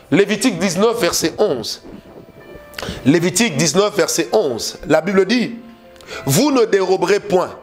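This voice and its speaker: High-voiced Congolese preacher, emphatic. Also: male voice. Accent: Congolese French. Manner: emphatic preaching with repeated phrases and rhetorical questions. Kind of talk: sermon.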